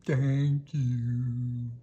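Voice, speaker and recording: A storybook reader voicing a giant, gentle, grateful monster with a deep, booming voice. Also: level tone, extremely slow, muffled recording.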